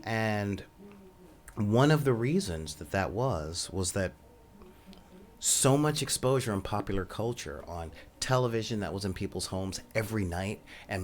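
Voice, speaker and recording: Soft-spoken male podcast host with a lowish voice; loose, rambling delivery. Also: a little slow. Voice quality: says he has a high voice. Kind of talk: casual monologue.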